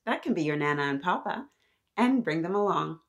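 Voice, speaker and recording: A woman in her thirties reading aloud to young children, animated and encouraging, often addressing them directly with questions. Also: fairly low pitch, a little fast. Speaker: children's story-time host. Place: small decorated indoor room.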